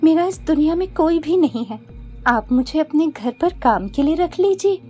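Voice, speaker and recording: A pretty young village girl from a cartoon tale, played by a woman. Her voice sits high and swoops with polite, coy pleading. Music runs underneath. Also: expressive, normal speed, warm tone. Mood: humble, pleading. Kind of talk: Hindi cartoon story acting.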